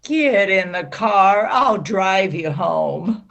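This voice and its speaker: A woman playing a gruff but loyal small-town policeman in an amateur radio play over a video call. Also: medium pitch, lively intonation, extremely slow, lo-fi sound, heavily acted. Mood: gruff concern.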